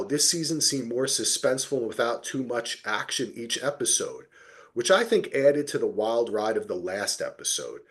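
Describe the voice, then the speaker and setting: Male podcast host, animated and informal, very varied intonation, casual recap chat. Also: medium pitch. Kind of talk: casual TV recap discussion, reading listener comments.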